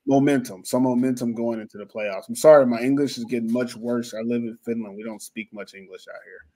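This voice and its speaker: A man's voice: medium pitch, fairly even intonation, brisk, dark tone. A man hosting a sports podcast, chatting casually in a rambling, jokey, self-mocking way. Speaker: podcast host. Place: lives in Finland.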